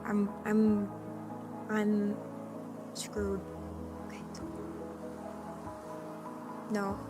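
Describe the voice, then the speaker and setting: A woman streamer chatting casually with her live chat, with a hoarse voice and a playful cat persona. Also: mid-range voice, completely flat delivery, very slow. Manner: chatty and playful, often clearing her throat. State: hoarse from allergies. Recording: music playing in the background.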